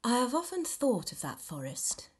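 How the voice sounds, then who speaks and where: Woman storyteller with very lively intonation. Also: on the high side, slightly rough. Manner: theatrical, measured storytelling with dramatic emphasis and hushed dips. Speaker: reader of a novel extract. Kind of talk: expressive scripted audiobook narration.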